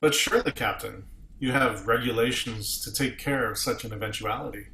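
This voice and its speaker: A man's voice: medium pitch, a little fast, bright, forceful tone, a little hiss or hum. A man playing a stern, principled starship captain in a table read, formal and commanding, recorded in an echoey room. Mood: challenging. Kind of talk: amateur table read of a sci-fi script.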